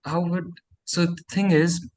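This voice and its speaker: A man's voice: sits high, little pitch movement, measured pace, darkish tone. A teenage male student whose native language is Urdu, casually putting a question to an adviser. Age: teens. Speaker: tenth-grade student asking a question.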